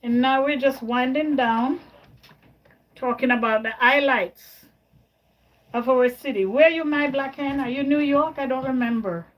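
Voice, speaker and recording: A woman's voice: sits high, measured pace, muffled recording. A woman from Jamaica hosting a casual livestream, chatting with viewers, answering their comments and often repeating her words. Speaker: livestream host.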